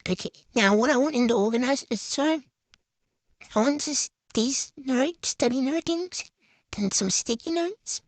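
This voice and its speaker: A woman's voice: high-pitched, animated, measured pace, dry recording. A woman giving a casual, chatty show-and-tell voice-over in a hesitant, unscripted way that tends to trail off. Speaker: vlogger.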